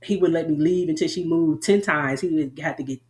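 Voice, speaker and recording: A woman's voice: low voice, fairly quick, a little mellow. Frank, rambling Southern woman. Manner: rambling, frank, laughs often. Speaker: army veteran guest. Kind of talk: candid interview chat.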